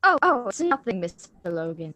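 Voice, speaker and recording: A woman's voice: medium pitch, very animated, fairly quick, bright, forceful tone, no echo. A woman reading the part of a nervous, evasive teenage schoolgirl in a radio drama, hesitant and apologetic. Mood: politely evasive.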